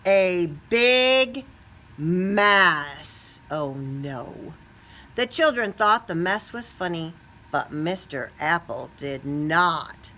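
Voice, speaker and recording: Woman, expressive picture-book reader for young children. Unhurried, bright delivery that leans on key words. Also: on the low side. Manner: expressive, stretches key words, asks listeners questions. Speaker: storybook reader. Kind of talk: animated children's picture book read-aloud.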